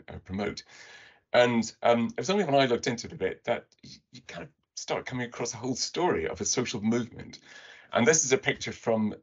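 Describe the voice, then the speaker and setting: Man, webinar presenter, conversational, deep gravelly voice, muffled call audio. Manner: conversational, asks the audience questions. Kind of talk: presenting slides to an online audience.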